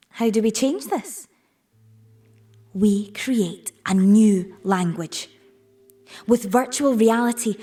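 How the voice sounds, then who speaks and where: Persuasive idealistic businesswoman, slow rhetorical speech with emphatic pauses, slightly distant over music. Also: on the high side, darkish tone, breathy edge. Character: persuasive, idealistic businesswoman addressing her industry. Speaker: actor. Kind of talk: conference keynote speech in an audio play.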